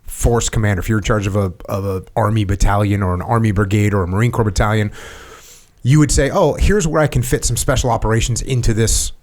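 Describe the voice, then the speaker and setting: Casting note: male podcast host, middle-aged, low voice, blunt and direct, conversational storyteller. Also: quick pace, a little mellow. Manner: blunt, probing questions and plain storytelling. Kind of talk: casual conversational interview.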